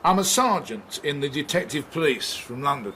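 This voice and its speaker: An actor playing a reserved Victorian London detective sergeant in a radio drama, putting terse, polite questions. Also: male voice, fairly high pitch, animated, moderate pace, bright, forceful tone, a little hiss or hum. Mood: polite, probing.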